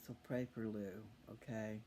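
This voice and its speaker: A man's voice: lowish voice, flat delivery, normal speed, dark tone. A man chatting casually to his viewers in a folksy, meandering way, thinking aloud as he goes. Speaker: host of a scripture reading channel. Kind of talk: rambling casual chat to viewers. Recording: faint background noise.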